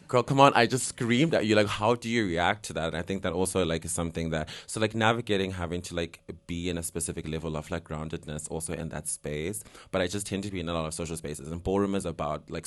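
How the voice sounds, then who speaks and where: A young man from Zimbabwe, a guest artist talking casually in an interview, rambling with lots of fillers and laughing often. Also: deep voice.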